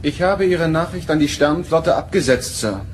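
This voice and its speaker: An actor playing a dutiful starship helm officer in a sci-fi audio drama, reporting in a military manner. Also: male voice, fairly high pitch, normal speed, slight background noise, close to an everyday voice. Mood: sober. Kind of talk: German audio drama acting.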